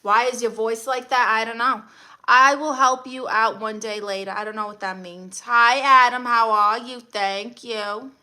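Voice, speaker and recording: A woman with a Brooklyn accent hosts a casual livestream. Her voice sits a little high and has a bright, forward edge. She greets viewers and responds to comments with playful, blunt sass. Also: fairly even intonation, measured pace. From Brooklyn, New York, USA. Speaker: livestream host.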